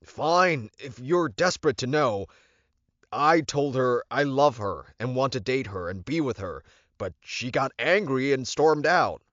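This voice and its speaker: Audiobook role: snappy, evasive young man from a male narrator, insistent, lifted pitch, very dry. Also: lively intonation, a little slow, a bit bright, dry recording, muffled recording. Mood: snappy, impatient. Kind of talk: audiobook character acting.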